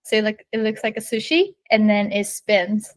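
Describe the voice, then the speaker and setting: A woman's voice: mid-range voice, moderate pace, dry recording. A woman in her twenties from Japan, a small business owner chatting as a podcast guest, full of "like" and "you know" and easy laughs. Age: 20s. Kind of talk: casual interview conversation.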